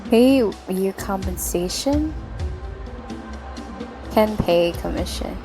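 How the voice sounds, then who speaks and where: A female streamer comments casually on the game she is playing. She talks in slow, unhurried bursts, with game music behind her. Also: medium pitch, expressive.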